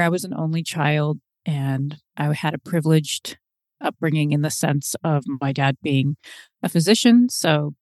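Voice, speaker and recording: A woman's voice: low-pitched, dry recording, studio quality. A middle-aged woman from Seattle, a podcast guest, reflecting on her life in a chatty, storytelling way. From Seattle, USA. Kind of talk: conversational interview.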